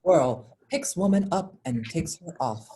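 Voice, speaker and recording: A woman's voice: sits low, expressive, unhurried, dark tone. A woman reading out stage directions as scripted narration in a staged play reading.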